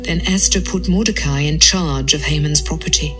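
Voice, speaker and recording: A woman's voice: deep voice, moderate pace, music in the background. A woman narrating a scripted, dramatised Bible reading.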